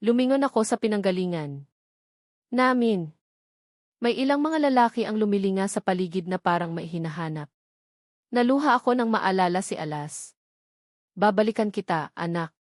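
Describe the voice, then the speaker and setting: A woman's voice: mid-range voice, no echo, studio quality. A woman giving a scripted first-person narration of a dramatic novel. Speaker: story narrator.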